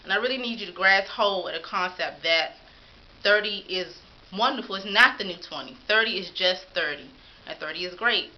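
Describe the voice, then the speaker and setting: A woman's voice: medium pitch, animated, normal speed, lo-fi sound. A woman in her thirties vlogging to camera in a casual, upbeat and encouraging way. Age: 30s.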